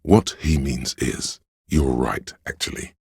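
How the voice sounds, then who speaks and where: A man voicing a grave, all-knowing narrator in a detective video game, measured and solemn, with a gravelly, husky voice. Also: a bass voice, a little mellow, dry recording, studio quality, heavily acted. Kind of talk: scripted dramatic narration.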